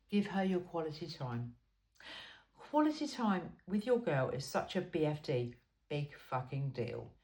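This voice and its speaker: A woman's voice: fairly low pitch, darkish tone. A woman reading a self-help book aloud in an informal, blunt and emphatic way.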